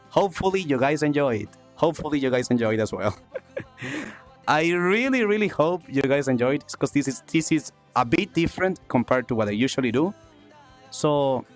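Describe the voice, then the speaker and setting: A male streamer from South America with a slightly high voice chats with his viewers, repeating phrases and thanking them eagerly. His chest is congested and he coughs now and then. Soft music plays in the background. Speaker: streamer and talk show host. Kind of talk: casual livestream chat with viewers.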